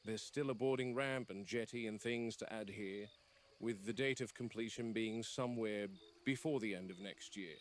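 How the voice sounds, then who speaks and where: A man voicing a grave, wary game warden in an analog horror log, measured and formal, on a field-style recording. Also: lowish voice, lightly acted. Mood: measured, concerned. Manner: measured, serious, formal delivery. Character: grave, wary male game warden logging dinosaur observations. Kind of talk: scripted analog horror voice acting.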